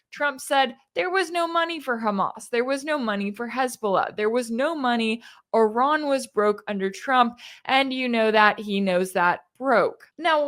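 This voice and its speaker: Higher-pitched American woman, emphatic political commentary. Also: a bit bright. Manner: emphatic, rhetorical questions, sarcastic asides. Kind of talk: opinionated news commentary, reading interview quotes and reacting.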